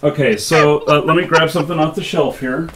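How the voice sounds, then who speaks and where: A man hosting a live show, chatting casually about games in a halting way with pauses mid-thought. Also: on the high side, fairly even intonation, over a noisy crowd.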